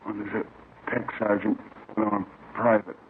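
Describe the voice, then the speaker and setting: Actor as wounded army major, halting, old radio. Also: male voice, fairly low pitch, little pitch movement, lightly acted. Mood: weak, dazed. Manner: halting, terse broken phrases. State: injured, struggling to talk. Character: beaten, wounded army major, weak but cooperative. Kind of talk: 1950s radio crime drama acting. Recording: noisy, tinny old 1950s radio recording.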